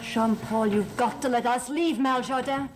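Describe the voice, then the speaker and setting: A woman's voice: a little high, moderate pace, noisy background, lightly acted. An actress playing a fearful, accusing mother in a gothic soap opera, fretful and melodramatic. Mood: fearful, pleading.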